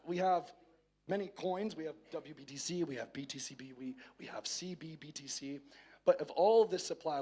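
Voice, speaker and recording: A hoarse man giving a casual tech conference talk on a main stage, often stumbling and repeating words as he starts a phrase. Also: on the high side, darkish tone. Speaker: conference speaker.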